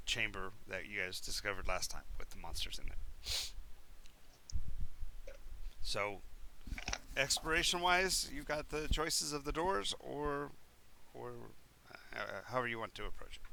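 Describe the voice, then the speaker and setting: A man's voice: medium pitch, very animated, measured pace, vocal fry, pushed and bright, slight background noise, poor audio. A man running a tabletop role-playing game, describing rooms at length with many fillers and restarts. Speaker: game master.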